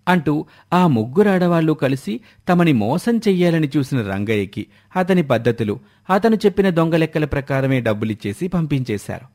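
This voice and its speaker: A man's voice: a little high, very animated, normal speed, a little mellow. A man reading scripted story narration as a storyteller.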